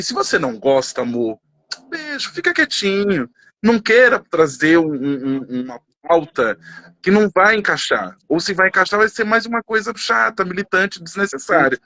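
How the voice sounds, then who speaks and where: Expressive Brazilian man in his thirties. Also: high-pitched, moderate pace. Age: 30s. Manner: emotional, expressive, sings snatches of songs. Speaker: panel guest. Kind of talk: casual conversation.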